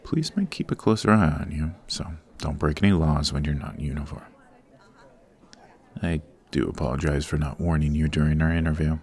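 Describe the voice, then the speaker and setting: A man playing a suave, formal supervillain boss in an ASMR roleplay, patient and exacting, with measured, instructive speech. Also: extremely low pitch, lively intonation, darkish tone, slightly rough, exaggerated voice. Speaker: voice actor.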